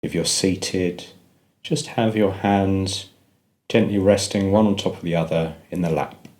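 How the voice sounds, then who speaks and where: Deep-voiced man guiding a meditation. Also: fairly even intonation. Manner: gentle, measured instruction with pauses. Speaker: meditation guide. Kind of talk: guided meditation narration.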